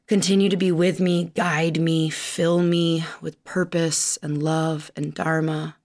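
A woman's voice: low voice, flat delivery, slow, a little mellow. A woman who hosts a podcast and works as a chiropractor, opening the show with a casual, irreverent spoken prayer.

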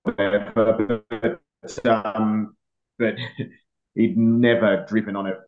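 An Australian man speaking as a motorsport editor, relaxed and hesitant with plenty of pauses. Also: on the low side, phone-quality sound. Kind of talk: casual motorsport chat.

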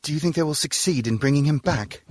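A man's voice: on the high side, quick pace, darkish tone, no room sound, studio quality, close to an everyday voice. An actor playing a serious underling who guards and questions his villainous master in an audio drama. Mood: grimly questioning.

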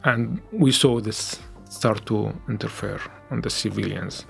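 A man with a Syrian Arabic accent, a native Arabic speaker, giving testimony in an interview in halting second-language English with frequent restarts. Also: fairly low pitch, gravelly, faint music behind. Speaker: interviewee, former activist.

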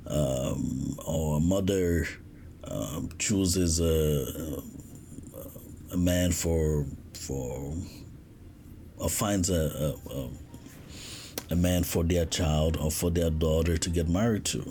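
Deep, slow man. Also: warm tone, slight background noise. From West Africa. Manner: reflective, unhurried, with frequent pauses and restarts. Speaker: podcast host. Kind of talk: casual podcast monologue.

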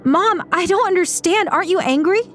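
A woman doing a high, strained young woman. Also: animated, fairly quick, a bit bright, faint music behind. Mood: pleading. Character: anxious young woman, torn and pleading.

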